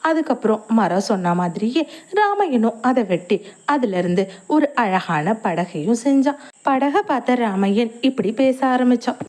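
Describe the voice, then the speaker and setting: A woman narrating a cartoon moral story in a clear, even storytelling manner. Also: on the high side, moderate pace.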